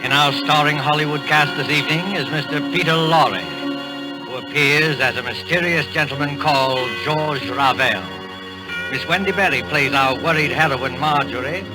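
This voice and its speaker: A male announcer narrates a vintage radio thriller. His slightly high voice is measured and full of suspense, with music clearly playing behind him on an old recording. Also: poor audio. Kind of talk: dramatic 1940s radio narration. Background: dramatic music.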